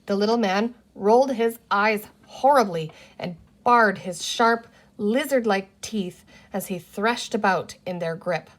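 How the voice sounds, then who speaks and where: A woman's voice: mid-range voice, a little slow, hardly any pauses. A woman reading a children's book aloud as the narrator of the story. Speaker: storybook reader.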